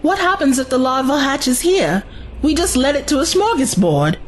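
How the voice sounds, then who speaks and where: A woman's voice: sits high, very animated, moderate pace, faint music behind. A woman playing a blunt young adventurer's companion in an audio drama, direct and questioning. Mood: urgent, wary.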